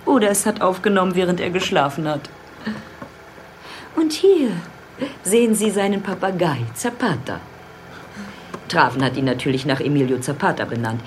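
A woman acts out an elderly, proud widow in a radio drama. The low voice is very animated and creaky, guiding guests reverently. Faint ambient noise sits behind it. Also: normal speed, long pauses, close to an everyday voice. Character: proud elderly widow showing her late writer husband's house. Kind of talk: radio drama acting as a house tour.

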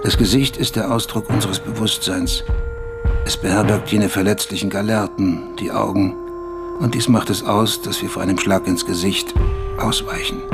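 Man, radio essay narrator, lowish pitch, measured literary reading, loud music underneath. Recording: music bed behind voice.

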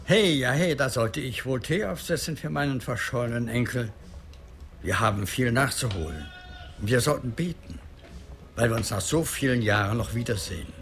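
An actor playing an old, devout grandfather and medicine man in a German radio drama, solemn, deliberate and plain-spoken. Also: mid-range voice, expressive, a bit bright. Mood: welcoming. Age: older.

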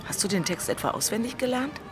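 A woman's voice: a little high, expressive, fast, lightly acted. An actress playing Senta, an impulsive, bookish single city woman, teasing and blunt, in a literary audio drama. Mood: teasing, tender.

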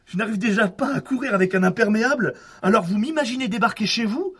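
An actor playing a flustered, chatty eccentric suspect in a French audio drama, stammering and rambling with a French accent. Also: male voice, high-pitched, fast, a bit bright, husky. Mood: giggly apology.

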